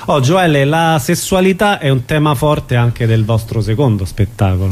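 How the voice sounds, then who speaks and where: A man's voice: mid-range voice, moderate pace, soft background music. A man hosting a radio interview, putting conversational questions to his guests.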